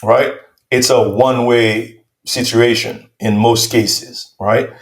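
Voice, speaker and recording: A man's voice: medium pitch, fairly even intonation, slow. A man from Haiti hosting an interview, asking long, probing questions and often circling back. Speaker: host. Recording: roomy echo.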